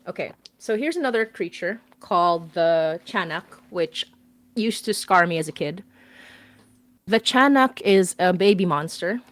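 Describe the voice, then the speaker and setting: Woman, thirties, from the Philippines, casual stream chat, enthusiastic, giggly, lowish voice, dry close sound. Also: animated, measured pace, no echo. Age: 30s. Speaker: podcast host and guest writer.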